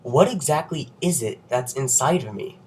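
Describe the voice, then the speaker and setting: A man's voice: mid-range voice, lively intonation, normal speed, a little hiss or hum. Congested young man explaining science. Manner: wry, self-joking, nerdy. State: under the weather with a cold. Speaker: presenter of an educational video.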